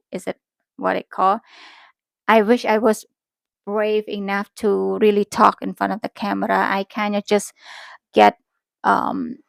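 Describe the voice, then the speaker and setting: A woman with a Cambodian accent and a creaky edge to her voice talks casually to her vlog viewers, close and dry. She is a Khmer native speaker, and her phrasing is simple and halting as she corrects herself along the way. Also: mid-range voice, no echo. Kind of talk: casual rambling vlog chat.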